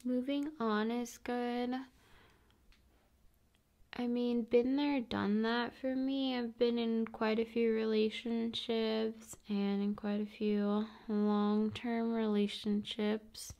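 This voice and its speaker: A woman speaking softly and often in a whisper, in an intimate, soothing ASMR style addressed to the viewer. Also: on the high side, level tone, takes it slowly, vocal fry. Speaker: ASMR video host.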